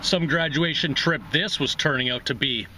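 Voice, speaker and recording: A man's voice: on the high side, moderate pace, sharp tone, a little hiss or hum, poor audio. A man voicing a sullen, sarcastic young man who resents being jilted, in a short story reading. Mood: resentful.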